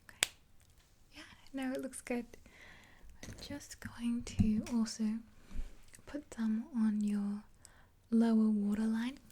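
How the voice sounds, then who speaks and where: A woman in her twenties whispering gently and unhurriedly in a casual, rambling ASMR roleplay, close to the mic. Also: a little high, flat delivery, takes it slowly, pauses often, slight background noise. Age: 20s. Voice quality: breathy whisper. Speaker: ASMR roleplay performer as makeup artist. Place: small indoor room, dark backdrop.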